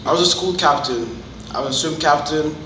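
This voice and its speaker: A man in his twenties from Fiji with a Fijian accent, giving a personal testimony to a group in an earnest, halting way. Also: on the high side. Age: 20s. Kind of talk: personal testimony, informal public speaking. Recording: roomy, distant mic, noticeable background noise.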